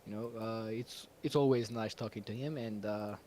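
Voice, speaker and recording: A man's voice: lowish voice, fairly even intonation, moderate pace, mellow, a little hiss or hum. A man whose first language is Georgian, hosting a stream in casual chat, laughing often and rambling with fillers. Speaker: stream host.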